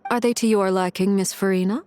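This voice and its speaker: A woman's voice: mid-range voice, steady tone, fast, dark tone, a little hiss or hum, close to an everyday voice. A woman voicing a composed, formal noblewoman in a game, gracious and controlled. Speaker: game character.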